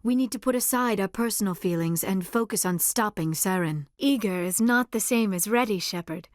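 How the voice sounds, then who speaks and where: An actress playing a gentle, earnest, shy young alien researcher in a video game, speaking in careful, formal, measured phrases. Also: female voice, medium pitch, no room sound, clean studio sound, close to an everyday voice. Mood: concerned, earnest. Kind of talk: video game dialogue acting.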